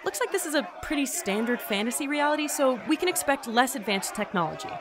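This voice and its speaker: A woman playing an excitable, irreverent field agent logging a mission in an audio drama, informal and easily flustered. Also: high-pitched, fast, a crowd in the background. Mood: curious. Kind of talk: scripted audio drama acting.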